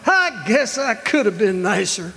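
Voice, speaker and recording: Man acting a creaky, high-voiced old geezer. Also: big pitch swings, unhurried, bright, forceful tone, heavily acted. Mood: wry, conceding. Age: older. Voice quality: raspy, creaky. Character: cantankerous, practical, freeloading old man. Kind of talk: stage radio drama acting. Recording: reverberant hall, live stage performance.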